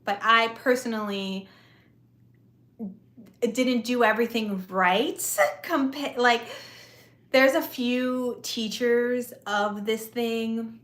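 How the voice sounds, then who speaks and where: A woman's voice: fairly high pitch, a bit bright. A woman chatting with viewers on a live stream, talkative and easygoing, with a soothing voice and lots of "like" and "you know". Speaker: vlogger and aspiring actress. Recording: echoey room.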